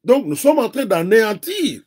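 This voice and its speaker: A man from the DR Congo with a Congolese French accent, a native Kikongo speaker, giving earnest, deliberate political commentary as an interview guest. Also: sits high, very animated, slightly rough.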